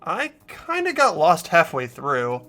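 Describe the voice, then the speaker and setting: A man's voice: a little high, huge pitch swings, slow pace, sharp tone, faint music behind. A young man hosting a game playthrough, reading story narration aloud and chatting casually. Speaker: host and lead reader of a game playthrough. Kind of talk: voiced game narration mixed with casual chat.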